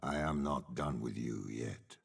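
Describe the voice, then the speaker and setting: An actor playing a commanding, cold, cunning older warlord with demonic power, speaking in slow, measured, eloquent game voice lines with a gravelly rasp. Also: male voice, extremely low pitch, full character voice. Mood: cold, ominous. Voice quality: gravelly, raspy. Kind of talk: dramatic game character voice lines.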